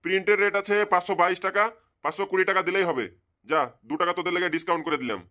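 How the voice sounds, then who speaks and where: A man's voice: high voice, racing, sharp tone. A man voicing a grumpy, bald old bookshop keeper in a comedy cartoon, scolding and sarcastic. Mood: gruff, businesslike. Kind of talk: comic cartoon dubbing.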